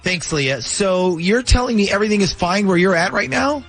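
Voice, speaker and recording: A male morning radio host with a fairly high, very animated voice. He talks casually through prank calls and banter, with faint music underneath. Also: moderate pace.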